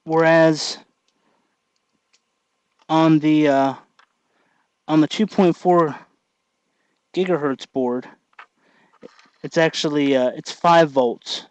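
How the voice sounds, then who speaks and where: A man narrating a casual hands-on tutorial, thinking aloud in a halting way as he works. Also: a little high, fairly even intonation, clean tone, no room sound, muffled recording. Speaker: tutorial host.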